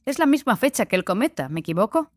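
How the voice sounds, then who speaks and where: A woman's voice: a little high, sing-song, fast, no echo, studio quality. A woman playing a frank, touchy young female sorcery student who sees visions in dreams, defensive and sardonic, in an audiobook drama.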